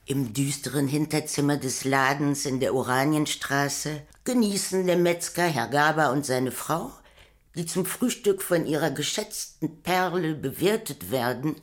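A woman narrating a poetic radio play from a script in a measured, deliberate way. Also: low voice.

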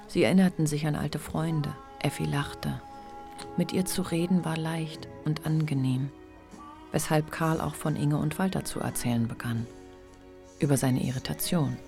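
A female storyteller in a literary radio drama. Her voice sits very low for a woman and her delivery is composed, with music clearly behind it. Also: darkish tone. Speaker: narrator.

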